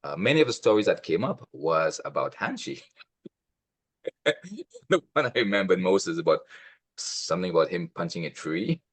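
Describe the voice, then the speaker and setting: Deep-voiced man, close dry sound. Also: animated, moderate pace, long pauses, dry recording. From Trinidad. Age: 50s. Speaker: karate instructor, podcast guest. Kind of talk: casual reminiscing.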